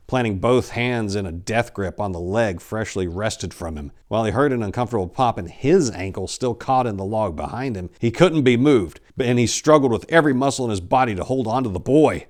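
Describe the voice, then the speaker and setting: A man in his forties with an American accent speaks as a theatrical storyteller. His pitch moves widely as he goes, giving the delivery an expressive, dramatic shape. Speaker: author reading his own short story. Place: indoor room lined with bookshelves. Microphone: lapel mic.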